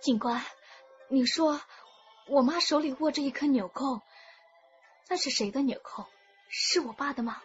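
A high-voiced, distraught young daughter. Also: female voice, a little slow, pauses often, muffled recording, soft background music. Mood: quiet dread. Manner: pleading, insistent. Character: distraught young daughter defending, then questioning her father. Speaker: actor in a radio drama. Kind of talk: emotional radio drama acting.

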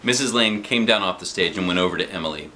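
A man in his forties with an American accent, reading a children's book aloud in an expressive, playful way with casual asides. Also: low voice, fast talker, slight background noise. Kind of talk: animated children's storybook narration with casual asides. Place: living room, seated on a sofa. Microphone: camera a short distance in front.

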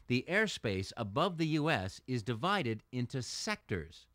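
Lively American male educational narrator. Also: mid-range voice. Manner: clear, explanatory, measured phrasing. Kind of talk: scripted educational video narration.

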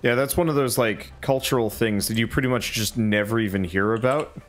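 A man's voice: mid-range voice, fairly quick, soft background music. A man chatting casually during a co-op game, asking curious questions. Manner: curious, asks easygoing questions. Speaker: co-op gaming partner. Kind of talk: casual co-op gameplay chat.

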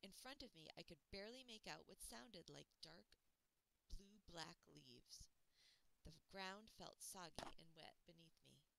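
Bright, creaky woman reading a children's story. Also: on the low side, expressive, a little slow, rough recording. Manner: dramatic, suspenseful narration. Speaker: children's library audiobook reader.

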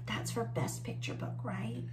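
A woman's voice: fairly high pitch, measured pace, noisy background. A woman in her forties with an American accent, reading a picture book aloud to children and explaining things simply with questions. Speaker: children's story time host. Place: small indoor room with bookshelves.

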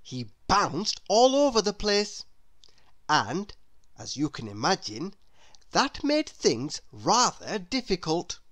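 A woman reading a children's story aloud in an expressive, deliberate way. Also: on the low side. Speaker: storybook reader. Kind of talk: scripted children's story narration.

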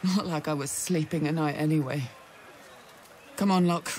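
A woman's voice: low-pitched, fairly even intonation, moderate pace, a little mellow, slight background noise, lightly acted. A woman playing a bitter, vengeful grieving mother in a video game, cold and resolute. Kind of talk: video game character dialogue.

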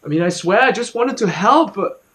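A young man performing a dramatic monologue as an anxious, guilt-ridden student, speaking haltingly and repeating his words. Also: high voice, lively intonation, a bit bright. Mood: defensive, pleading. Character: anxious, guilt-ridden student confiding about a troubled friend.

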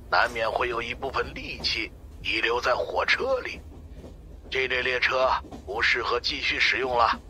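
A man's voice: medium pitch, pushed and bright, a little hiss or hum, pitched above the performer's own voice. A man voicing an impatient, brusque senior monk consultant in an audiobook.

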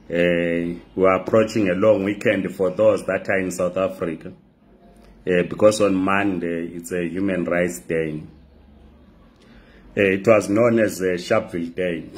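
A man, hosting a political talk show to camera, his voice hoarse from a flu, pausing often with "uh". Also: sits low. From Zimbabwe. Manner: measured, frequent "uh" pauses. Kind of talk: direct-to-camera political address. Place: bright indoor room. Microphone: phone camera close up.

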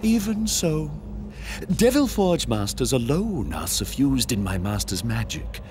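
A man playing a sinister, gloating servant of death in a video game, with archaic, mocking, theatrical delivery. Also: mid-range voice.

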